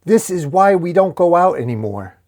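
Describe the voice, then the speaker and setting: A man's voice: medium pitch, moderate pace, a little mellow, lightly acted. A man acts out a half-dwarf, half-orc outcast from a comic fantasy story. He is sarcastic and put-upon, protesting in an exasperated, slightly whiny way.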